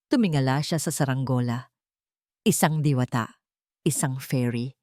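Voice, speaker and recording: A woman narrating a story expressively and sentimentally, in short measured phrases. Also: a bass voice, darkish tone, no echo, clean studio sound. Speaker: audiobook narrator.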